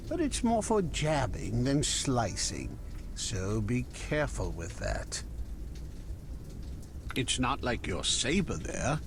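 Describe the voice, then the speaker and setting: A man voicing a chatty, obliging weapons merchant from the musket era in a video game, talking in unhurried salesman patter. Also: mid-range voice, noisy background, heavily acted. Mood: helpful, musing. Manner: unhurried, conversational salesman patter with dramatic pauses. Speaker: game shopkeeper character.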